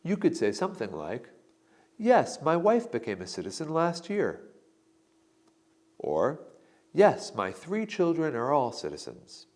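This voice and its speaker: Male lesson narrator, slow and deliberate, pitch rising and falling widely as he voices sample replies. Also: huge pitch swings, darkish tone. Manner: slow, deliberate, model answers read with emphasis. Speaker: instructor giving sample answers. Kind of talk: scripted instructional narration.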